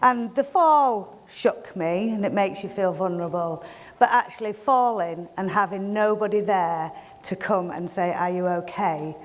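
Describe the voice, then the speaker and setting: A middle-aged woman with a Yorkshire accent speaks frankly to a room, plain-spoken and wry. Her voice sits at an ordinary middle pitch. A long reverb tail hangs on every phrase from the hall's sound system. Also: unhurried. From Yorkshire, England. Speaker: event host giving a welcome speech. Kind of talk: personal, candid speech to a live audience. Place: event hall. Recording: long hall reverb from a venue PA.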